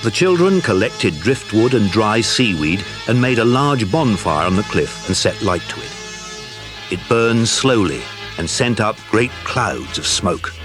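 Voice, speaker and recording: A man narrates an adventure audiobook in a fairly low voice. His pitch moves in a lively way, and music plays behind him. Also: measured pace. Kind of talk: scripted audiobook story narration.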